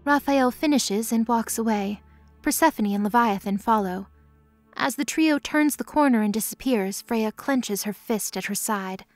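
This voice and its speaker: Higher-voiced woman narrating a fantasy story. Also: normal speed, no echo, faint music behind. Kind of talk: scripted third-person story narration over music.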